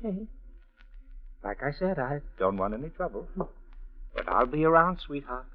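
A man's voice: mid-range voice, sing-song, moderate pace. Cocky male schemer, insinuating and wisecracking, swinging delivery, vintage radio drama over a phone-thin signal. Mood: cocky, needling. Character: smooth, cocky schemer muscling in on a woman. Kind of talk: old-time radio drama acting. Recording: tinny, narrow-band vintage radio with music.

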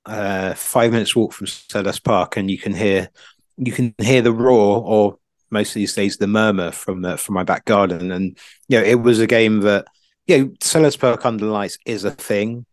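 Low-voiced man talking football. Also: fairly even intonation, no room sound. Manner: halting, thinking aloud with hedges and repetitions. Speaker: football podcast pundit. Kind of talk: casual football discussion.

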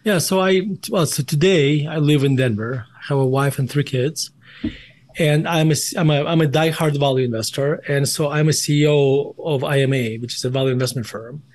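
A man's voice: on the high side, moderate pace, a little mellow. A man in his forties with a Russian accent, a guest in an interview, often restarting and repeating words as he explains. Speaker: guest, investment firm CEO. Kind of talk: conversational interview answers.

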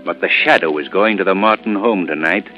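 An actor playing an assured, suave amateur sleuth in old radio drama style. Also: male voice, low-pitched, fairly quick, faint music behind, natural voice. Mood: assured, grave. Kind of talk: 1940s radio acting. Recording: muffled old radio recording.

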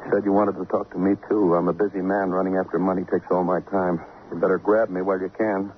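Man playing arrogant moneyed husband, sardonic, boastful, possessive, lowish voice, vintage radio drama, noisy old recording. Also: flat delivery, fast talker, dull audio, close to an everyday voice. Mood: mocking, cold. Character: arrogant, rich, possessive husband, cold and mocking. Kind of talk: mid-century radio crime drama acting.

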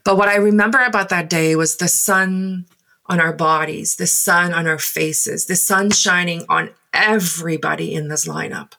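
A woman in her forties with a South African accent and Afrikaans as her mother tongue recalls her past in English. Her voice sits on the low side with a lot of vocal fry. She speaks reflectively, stopping often and filling gaps with "um.". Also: sharp tone. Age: 40s. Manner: thoughtful, halting, many pauses and fillers. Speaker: guest. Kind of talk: reflective personal storytelling.

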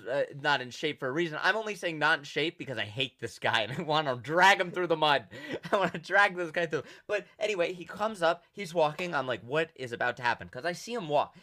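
A young man from Rhode Island co-hosting a podcast, telling stories in a loud, projecting, animated voice. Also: fairly high pitch, brisk. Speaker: podcast co-host. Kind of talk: casual chat.

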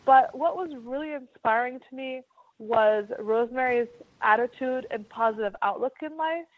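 A woman from the United States co-hosting a casual chat, talking lively with lots of filler words. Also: fairly high pitch, normal speed, dry recording. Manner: lively, lots of "like" and "you know". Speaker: podcast co-host. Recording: dull dry lo-fi sound.

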